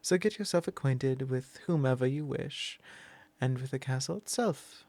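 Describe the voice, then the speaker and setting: A man voicing a possessive, commanding male vampire lord in an ASMR roleplay, speaking slow, low and intimate, often in a whisper. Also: a little mellow, dry recording.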